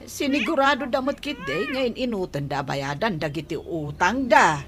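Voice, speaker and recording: An actress playing a meek, apologetic older aunt in an Ilocano radio comedy drama. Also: female voice, medium pitch, very animated, normal speed, noisy background, natural voice.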